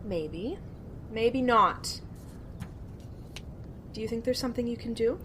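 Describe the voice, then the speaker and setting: Casting: proper, determined woman under strain, radio-drama dialogue, clipped earnest delivery, highish pitch, slight background rumble. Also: animated, slow pace, fairly bright tone. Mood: guarded. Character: distraught, proper, determined older sister of missing girl.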